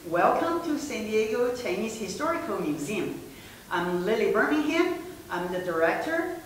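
A woman presents indoors, friendly and gesturing, pausing on fillers as she explains. The mic sits far off, so her voice rings in a very echoey room. A faint hiss stays under it. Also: medium pitch, big pitch swings. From Taiwan. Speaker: museum director presenting. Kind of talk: casual gallery presentation. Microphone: far camera mic.

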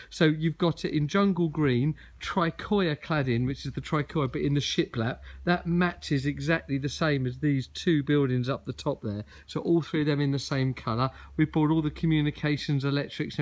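A man giving a casual, enthusiastic walkaround tour of a garden gym he built, filmed outdoors on a handheld phone, with frequent ums and uhs. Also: fairly high pitch. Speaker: garden building company representative. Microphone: phone camera held while walking.

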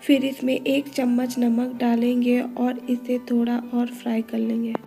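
A woman calmly narrating a home cooking recipe step by step, listing each ingredient in a methodical way. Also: a little high, unhurried, gravelly. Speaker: cooking channel host. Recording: echoey room voice-over with faint music.

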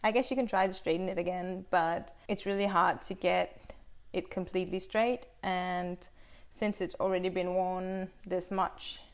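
A woman's voice: lowish voice, fairly even intonation, a little slow. A young woman casually demonstrating chainsaw bar maintenance in a small indoor workshop, explaining practically as she handles the tools. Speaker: chainsaw instructor.

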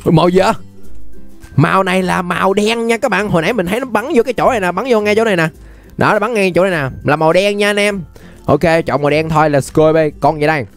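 A man's voice: high-pitched, quick pace, faint music behind. A young man with a Southern Vietnamese accent giving casual, playful, exclamatory gameplay commentary as a YouTube host. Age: young adult. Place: indoor room, webcam setup.

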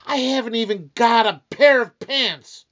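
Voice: medium pitch, measured pace, fairly bright tone, close to an everyday voice. An actor playing a weary, sarcastic, penny-pinching husband in an old-time radio comedy, grumbling and blustering wryly. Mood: outraged. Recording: dull, lo-fi band-limited sound.

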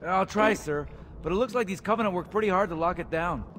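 A man's voice: sits high, fast, a bit bright. An actor playing a nervous young male marine in a game cutscene, who drops Spanish slang. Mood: uneasy. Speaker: marine private. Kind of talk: video game cutscene acting. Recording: noisy battle sound behind.

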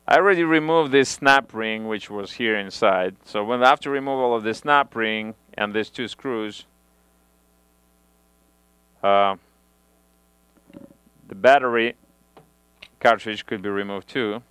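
A man in his thirties demonstrating audio gear in a small home studio, talking through the steps in halting phrasing with frequent restarts, on a headset mic. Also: on the low side, fairly bright tone, clean tone, no room sound. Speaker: audio equipment reviewer. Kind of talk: hands-on equipment demonstration.

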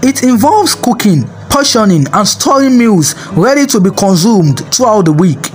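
A man with a high voice narrates a scripted health video as its host. He reads evenly from a script, sometimes with a sing-song lilt. Faint music plays under his voice-over. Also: big pitch swings, moderate pace. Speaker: channel host.

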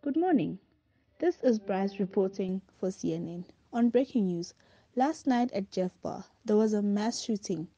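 A woman's voice: mid-range voice, lively intonation, measured pace, dark tone, no room sound, lo-fi sound. A woman playing a TV news reporter in an audio drama, with a formal newsreader delivery. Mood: serious. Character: female TV news reporter announcing breaking news.

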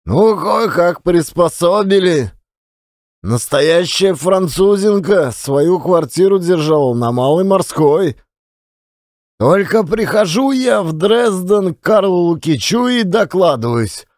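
A man's voice: sits high, sing-song, slow pace, darkish tone, no room sound, pitched above the performer's own voice, exaggerated voice. Man playing a folksy, rambling Russian storyteller, close and dry. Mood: animated recounting. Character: garrulous folksy old-time Russian storyteller, short man, self-deprecating. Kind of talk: one-actor audio play.

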